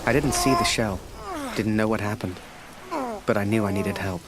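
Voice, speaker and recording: A man's voice: fairly low pitch, moderate pace, close to an everyday voice, rough recording. A man playing a naive, earnest and anxious young war photographer in a dramatic video game. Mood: reflective. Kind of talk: reflective narration and dramatic game dialogue. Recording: music and rain behind. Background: music, rain.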